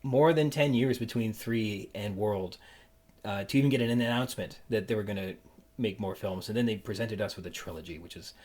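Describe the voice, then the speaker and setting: A man hosting a podcast interview in a casual, halting, rambling way with a playful, joking streak. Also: fairly low pitch, darkish tone. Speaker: podcast host interviewing a guest.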